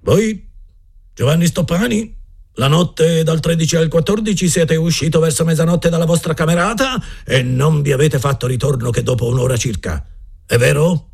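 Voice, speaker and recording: A man voicing a pompous, bullying school director in an audiobook reading, who shouts and blusters. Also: a little high, moderate pace, vocal fry, husky, pitched above the performer's own voice. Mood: stern.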